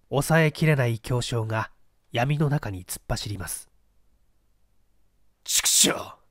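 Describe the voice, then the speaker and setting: Animated male storyteller, dry recording. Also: mid-range voice, slow pace, pauses often, no echo. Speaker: audiobook reader. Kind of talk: dramatic literary narration of a mystery story.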